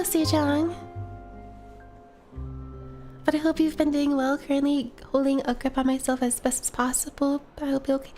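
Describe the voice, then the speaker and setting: Woman streamer, stuffy from a cold, high voice, casual chatter to viewers. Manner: chatty, talks to viewers between game lines. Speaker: streamer playing a game. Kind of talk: casual live-stream chat.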